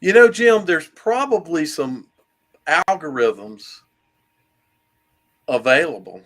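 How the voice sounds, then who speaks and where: A man co-hosting a casual sports talk show, speaking in a thoughtful way that trails off quietly. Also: on the high side, very animated, slow. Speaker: co-host.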